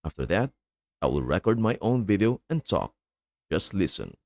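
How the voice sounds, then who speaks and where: A man's voice: low-pitched, very animated, moderate pace, a little mellow, no room sound. A man hosting a tech tutorial, giving polite, formal step-by-step voice-over instructions.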